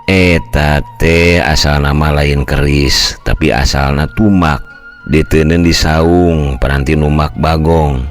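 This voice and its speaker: A calm older brother who smiles as he explains, played by an older man narrating a folk tale. He speaks slowly and gently in a deep, low voice. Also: faint music behind.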